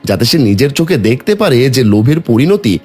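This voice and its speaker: A man's voice: mid-range voice, big pitch swings, fairly quick, a little mellow, breathy edge, soft background music. A man voicing a kind, shrewd and humble woodcutter hero in a cartoon, assured as he explains himself. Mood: confident, triumphant.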